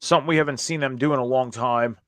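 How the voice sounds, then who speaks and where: A man with a hoarse voice talks as the host of a casual recap show. His pitch is average and the sound is close and dry, with little room around it. He speaks informally, like someone chatting through an event. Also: fairly even intonation, fast, no room sound. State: hoarse after a night of screaming at a concert. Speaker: host of a wrestling review show.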